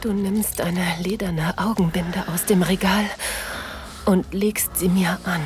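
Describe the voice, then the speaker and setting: Slow, breathy actress as a submissive woman. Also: lowish voice, steady tone, background noise. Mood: breathless, sensual. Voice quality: breathy, whispery. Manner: slow, sensual delivery. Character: aroused, submissive woman narrating her erotic encounter. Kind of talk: intimate erotic audio drama narration. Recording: music behind the voice.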